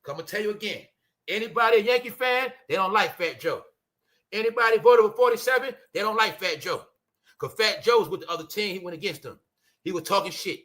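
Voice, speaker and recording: A man's voice: high voice, brisk, sharp tone. A man from Brooklyn, ranting profanely on a livestream and calling out to his audience. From Brooklyn, New York. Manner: heated and profane, keeps addressing the audience with "y'all" and "bro". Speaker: livestream host.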